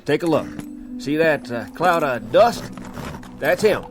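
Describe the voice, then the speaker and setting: An actor playing a nervous, chatty saloon bartender with eager, jittery speech. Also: male voice, on the high side, very animated, slow, music in the background.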